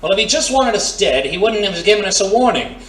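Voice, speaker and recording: A man's voice: high-pitched, animated, brisk, fairly bright tone. A man playing a brash, wisecracking ex-thief in a pulp adventure audio drama. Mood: wary, serious. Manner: wisecracking, slangy tough-guy talk. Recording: roomy, distant mic, faint noise.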